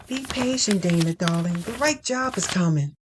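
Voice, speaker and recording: A woman's voice: fairly low pitch, animated, normal speed, a little hiss or hum, natural voice. A woman playing a kindly, encouraging female reverend who speaks soothingly in an audio drama. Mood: urging, hopeful. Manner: soothing, reassuring. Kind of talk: audio fiction acting.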